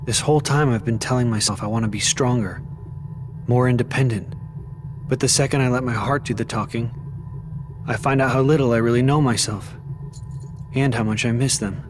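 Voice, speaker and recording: An actor playing an earnest, brave young warrior in a video game. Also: male voice, mid-range voice, close to an everyday voice. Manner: earnest, heroic.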